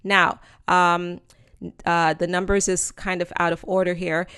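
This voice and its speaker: A woman's voice: lowish voice, level tone, measured pace, a bit bright, clean tone, dry recording, studio quality. A woman with a Jamaican accent hosting a casual explainer over slides, chatting to viewers as friends with plenty of ums. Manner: chatty and informal, addresses viewers as friends and guys, many ums. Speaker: host of a channel explaining news to viewers.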